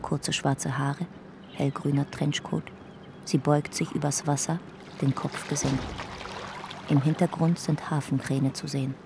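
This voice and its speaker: A detached male narrator in a radio drama. His fairly high voice stays even through clipped, factual phrases. Clear noise runs behind him. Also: a little slow. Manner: clipped, matter-of-fact phrases. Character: detached narrator cataloguing details like a report.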